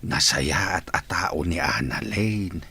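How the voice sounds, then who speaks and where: An actor playing a sick, gentle elderly father in a radio drama. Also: deep voice, takes it slowly, vocal fry, a little hiss or hum, full character voice. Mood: gently advising.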